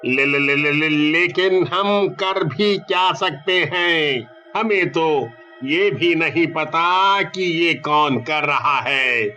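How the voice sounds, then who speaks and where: A man's voice: on the high side, drawn-out words, sharp tone, soft background music, exaggerated voice. A man voicing a puzzled, helpless villager who sounds flustered in a horror storybook tale. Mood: flustered helplessness.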